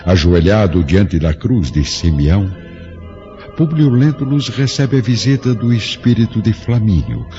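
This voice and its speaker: A man's voice: fairly low pitch, big pitch swings, unhurried, mellow. A man narrating a radio drama in solemn, grave, literary style. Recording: dull lo-fi radio drama recording. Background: clear background music.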